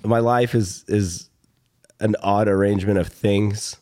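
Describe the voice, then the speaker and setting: A young man with a low, slightly hoarse voice talks casually as a podcast host. He rambles, pausing and starting again, and drops in swear words in a relaxed, conversational way. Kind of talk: casual chat.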